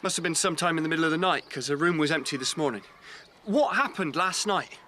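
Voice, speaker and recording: An actor playing an agitated, accusing, hurt friend in a naturalistic radio drama, urgent and confrontational. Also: male voice, fairly high pitch, quick pace, sharp tone, slight background noise.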